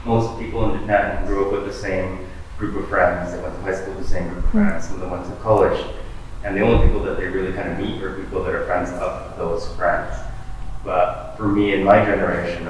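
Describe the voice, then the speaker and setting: A man in his thirties from the United States talking in a relaxed, reflective way in a casual group discussion. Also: low voice, fairly quick. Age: 30s. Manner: relaxed, reflective, loose with kinda and you know. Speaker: panel guest. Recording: echoey room, distant mic, faint hiss.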